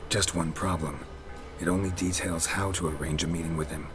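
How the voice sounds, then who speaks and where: An actor playing a gruff, world-weary monster hunter in a video game, terse and deadpan with a gravelly voice. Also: male voice, low voice, fairly quick, over music, close to an everyday voice. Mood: curt, dry. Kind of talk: video game character acting.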